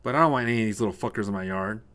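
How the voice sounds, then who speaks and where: A man voicing an angry, foul-mouthed homeowner in a short story, given to shouted, exclamatory outbursts. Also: lowish voice, racing, lightly acted. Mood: irritated, defiant. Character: angry, foul-mouthed male homeowner, hot-tempered and defiant.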